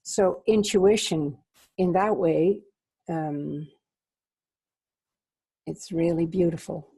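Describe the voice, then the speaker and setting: Slow, husky woman musing contemplatively. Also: fairly low pitch, mellow. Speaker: book club co-host. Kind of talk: contemplative spiritual discussion.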